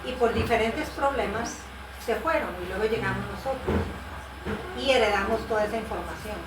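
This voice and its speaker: A woman's voice: fairly high pitch, normal speed. Woman missionary explaining bible translation work, patient, lots of fillers, sounds far off in an echoey room with noise. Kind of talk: conversational explanation in an interview. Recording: roomy, distant mic with background noise.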